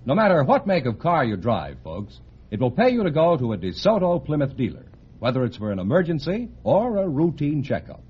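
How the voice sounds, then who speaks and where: A man's voice: a little high, huge pitch swings, brisk, background noise. An American man reading a scripted 1950s radio advertisement with an emphatic sales delivery. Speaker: commercial announcer. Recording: dull vintage radio recording.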